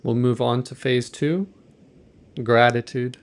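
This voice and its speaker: A man leading a guided meditation in a gentle, measured, softly instructive manner. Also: mid-range voice, fairly even intonation.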